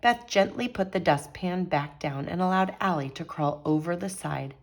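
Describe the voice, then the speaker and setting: A woman reading a children's picture book aloud as a storyteller, expressive and teacherly. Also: on the low side, moderate pace, a little hiss or hum.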